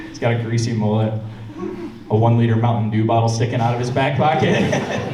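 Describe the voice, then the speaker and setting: A man's voice: mid-range voice, distant mic, noisy background. Young male stand-up comic, wry storytelling, roomy sound, mic a bit off. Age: young adult. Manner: wry, self-mocking storytelling. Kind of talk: stand-up comedy set. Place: campus hall with a live crowd.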